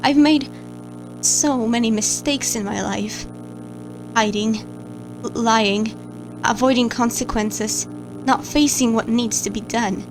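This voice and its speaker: A woman's voice: fairly high pitch, slow pace, long pauses, low-quality recording. A woman playing an anxious, guilt-ridden watcher of camera feeds, who stammers and hesitates as she narrates a horror log over music.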